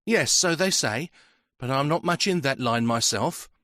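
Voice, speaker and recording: A man's voice: medium pitch, animated, unhurried, sharp tone, no room sound, studio quality, pitched above the performer's own voice. A man voicing a guarded, anxious elderly woman who gives short, curt answers.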